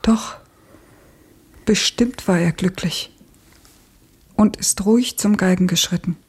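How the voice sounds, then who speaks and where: An actress in a radio play, playing a grieving, intense woman revolutionary who speaks in halting short phrases. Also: medium pitch, a little mellow. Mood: feverish, yearning. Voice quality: husky, breathy.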